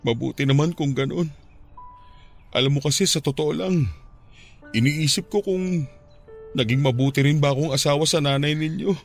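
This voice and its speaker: A man playing a high, gravelly grieving father. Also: moderate pace, long pauses, soft background music, close to an everyday voice. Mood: sorrowful. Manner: tearful, pleading, voice breaking with grief. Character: grieving widowed father, middle-aged, heartbroken and tender. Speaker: voice actor in a radio drama. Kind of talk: radio drama acting.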